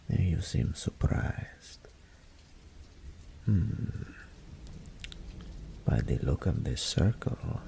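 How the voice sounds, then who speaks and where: A male voice actor playing a seductive, smug male greater incubus in an audio roleplay drama, with breathy whispers and a slow, purring, condescending manner. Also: sits low, warm tone, full character voice.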